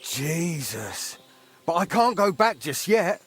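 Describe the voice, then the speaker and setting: Self-pitying young craftsman's helper for a video game, high and animated, whiny and faltering. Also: slow pace, exaggerated voice. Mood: miserable, defensive. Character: Pushko, woeful, self-pitying young bell-founder's helper, man.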